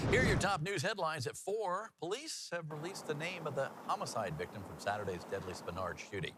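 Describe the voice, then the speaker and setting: Male news anchor, lower-pitched, very lively intonation, chatty and folksy, faint background noise. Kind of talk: newscast reading mixed with casual co-anchor banter.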